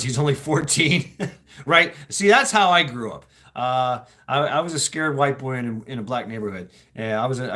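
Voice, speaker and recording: A man's voice: mid-range voice, a bit bright. A middle-aged American man hosting a casual livestream, rambling through stories with swearing and chuckles. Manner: sweary, laughing, meandering storytelling. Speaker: livestream host.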